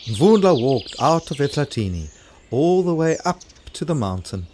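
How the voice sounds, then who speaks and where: A man's voice: on the high side, sing-song, moderate pace, a little mellow, a little hiss or hum. A man with a South African accent narrating an African folktale for children as a storyteller. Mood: storytelling.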